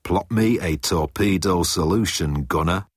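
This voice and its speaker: A man's voice: sits low, a little slow, breathy edge, dry recording, clean studio sound. A man voicing a pompous, menacing alien commander who speaks with pedantic deliberation in an audiobook. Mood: gloating.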